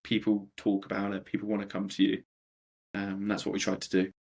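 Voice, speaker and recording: A young man in his twenties, a startup co-founder answering interview questions in a conversational way with a deep voice and frequent ums. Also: monotone, a little fast, a little mellow, breathy edge. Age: 20s.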